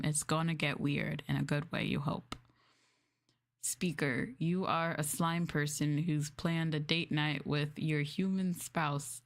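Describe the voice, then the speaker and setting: A woman hosting a casual livestream, chatting to her viewers in an expressive, giggly manner with drawn-out exclamations. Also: low voice. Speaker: livestream host reading scripts to chat.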